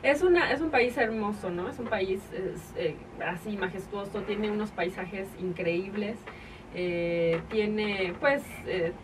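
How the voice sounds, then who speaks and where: A woman from Mexico talks in a loose, chatty way, often pausing to find her words. She is recorded from a distance, with clear background noise. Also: medium pitch. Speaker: guest. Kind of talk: casual radio chat.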